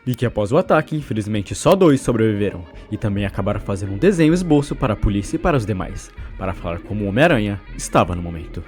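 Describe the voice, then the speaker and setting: A man's voice: lowish voice, very animated, racing, hardly any pauses, music in the background. A man with a Brazilian accent narrating a recap of a horror story.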